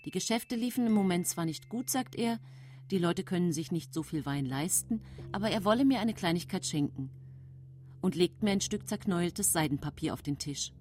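A woman's voice: lowish voice, animated, moderate pace, darkish tone, slight background noise, lightly acted. An actress playing a composed, calculating woman in her thirties who narrates her own story in a radio drama, controlled and matter-of-fact. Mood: composed, confiding. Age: 30s.

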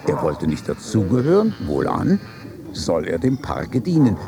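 An elderly noble statesman for radio drama. His deep-set voice is gravelly and crackles at the edges. He declaims with pompous, dry irony, like someone weary of the world he judges. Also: huge pitch swings, a little slow, a crowd in the background, full character voice. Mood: measured, firm. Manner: pompous, sardonic, ornate declamation. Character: aristocratic old statesman father in heaven, wry and world-weary. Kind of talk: literary radio drama dialogue.

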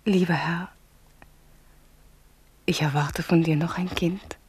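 Low-voiced royal mistress, radio drama. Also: female voice, fairly even intonation, slow, a little mellow, slight background noise. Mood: tender. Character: king's devoted, anxious young mistress, noblewoman. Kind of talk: German radio drama acting.

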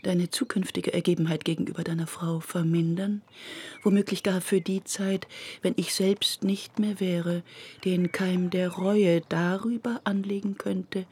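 An actress playing an anxious, disapproving middle-aged mother in a literary radio drama, speaking formally in a hushed, breathy voice. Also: fairly low pitch. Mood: hushed, worried. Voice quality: husky, breathy. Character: disapproving, anxious, restrained mother of a grown son.

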